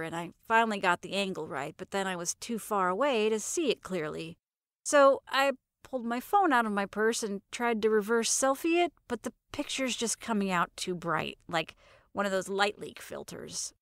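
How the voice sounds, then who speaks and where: A woman narrates comic fiction in the first person with a fairly high voice. Her delivery is conversational and sardonic, like casual storytelling with a wry edge. The sound is close and dry. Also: bright, forceful tone, no echo.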